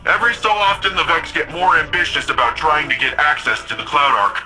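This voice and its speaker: A man's voice: a little high, brisk, pushed and bright, faint music behind, low-quality recording. A man playing an upbeat, keen ally who guides a soldier over the radio in a sci-fi video game, talking colloquially. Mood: concerned, determined. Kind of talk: video game mission dialogue.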